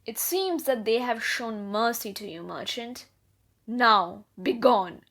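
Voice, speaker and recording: A woman's voice: fairly high pitch, lively intonation, slow, a bit bright, exaggerated voice. A woman playing a wily, menacing thief in a scripted fantasy audio roleplay, with a theatrical delivery. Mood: menacing, mocking.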